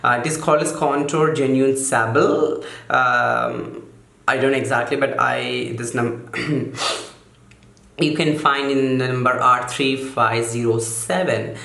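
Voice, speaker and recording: A man calmly walking through makeup brushes in a casual vlog, softening his voice on purpose and often stopping to correct himself with fillers. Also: medium pitch, gravelly, reverberant. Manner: halting, many fillers and self-corrections; deliberately softened, soothing delivery. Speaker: makeup vlogger. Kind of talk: casual product walkthrough.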